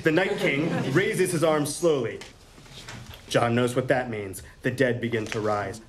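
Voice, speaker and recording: A man's voice: mid-range voice, unhurried. A man narrating script directions in a dramatic reading at a table read. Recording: room with faint noise and crowd.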